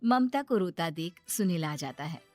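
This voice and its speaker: A woman's voice: lowish voice, expressive, moderate pace, darkish tone, faint music behind. A woman reading a scripted story as its narrator.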